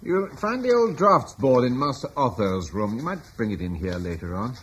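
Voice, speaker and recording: An actor playing a calm, commanding middle-aged husband and guard leader, warmly reassuring, in a radio drama. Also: male voice, mid-range voice, normal speed, a little hiss or hum.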